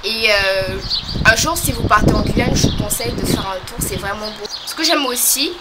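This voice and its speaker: A young woman from French Guiana, talking casually to camera as a vlogger, hesitant and full of pauses. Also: mid-range voice, very noisy. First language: a local creole or regional language. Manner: hesitant, many pauses and fillers.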